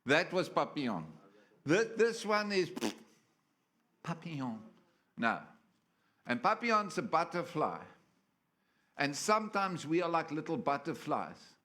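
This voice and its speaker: A man preaches in church in a playful, storytelling way, often stopping to ask for an amen. His voice sits a bit higher than most men's. He jokes with the crowd between scripture readings. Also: big pitch swings, a little slow, pauses often, a bit bright. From South Africa. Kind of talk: sermon.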